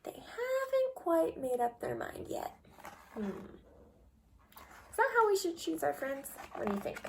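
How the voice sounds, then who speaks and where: A woman reading a children's story aloud expressively and pausing to put guiding questions to young listeners. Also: very high-pitched, huge pitch swings, gravelly, a little mellow, a little hiss or hum, poor audio. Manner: slow, deliberate, asks guiding questions.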